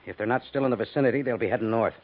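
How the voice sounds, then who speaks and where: An actor playing a cautious, authoritative senior army major with a husky voice in a 1950s radio drama. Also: male voice, mid-range voice, rapid-fire, natural voice. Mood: urgent. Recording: thin, phone-like 1950s radio recording.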